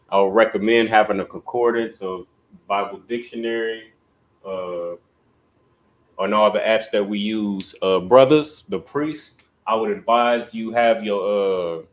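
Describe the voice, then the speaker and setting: A man with a fairly low voice leads an online class and speaks straight to his viewers. His delivery is loose and conversational, with the same filler phrase coming back often as he gives instructions. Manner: casual, loose delivery with repeated "you know what I'm saying". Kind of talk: livestreamed religious language class.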